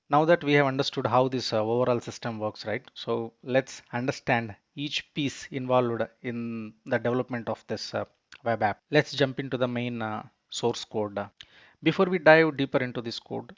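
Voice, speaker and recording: Dull-sounding man presenting a software tutorial. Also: medium pitch, no echo. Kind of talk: screencast software tutorial narration. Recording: dull, muffled close voice-over.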